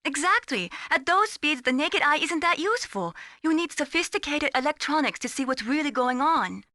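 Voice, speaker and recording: An actress playing an earnest, gently teasing young female radar technician in a video game. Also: sits high, sing-song, normal speed, no room sound, clean studio sound, full character voice. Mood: candid. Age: young adult. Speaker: mission support operator. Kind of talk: scripted video game voice acting.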